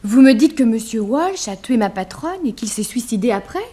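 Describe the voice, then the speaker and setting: A woman's voice: a little high, expressive, normal speed, dark tone. A woman playing a frank, gossipy chambermaid in a 1960s French radio drama. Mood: startled, confiding. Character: gossipy young chambermaid, frank about her mistress.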